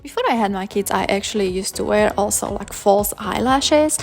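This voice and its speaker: A woman's voice: mid-range voice, moderate pace, gravelly, faint music behind. A woman in her thirties from Slovakia, chatting casually while doing her makeup in a vlog. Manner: relaxed, chatty, talks while applying makeup. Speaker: vlogger, stay-at-home mom. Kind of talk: casual makeup tutorial chat.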